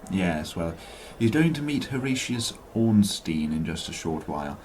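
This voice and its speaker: Man playing a pompous, scheming politician villain, low-voiced. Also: a little mellow, slight background noise, natural voice, low-quality recording. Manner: pompous, florid, formal wording. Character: smooth, scheming male politician villain, falsely cordial. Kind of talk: radio drama acting.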